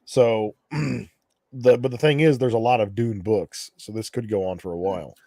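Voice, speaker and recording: A man's voice: fairly low pitch, normal speed, mellow, no echo, studio quality. A man co-hosting a casual livestream, talking in a hesitant way and repeating words as he goes. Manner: hesitant, repeats words, clears throat often. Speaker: co-host.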